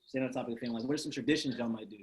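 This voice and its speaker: A man in his twenties hosting a casual group chat with friends on a video call, animated and quick to laugh. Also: mid-range voice. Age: 20s. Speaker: host.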